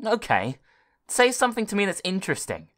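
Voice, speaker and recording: A defiant, arrogant young boy, voiced by a man in a storybook reading. The voice sits well above the reader's own and pushes out loud and quick. He talks back with brash scorn. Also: sits high, wildly swooping pitch, voice pushed up, exaggerated voice.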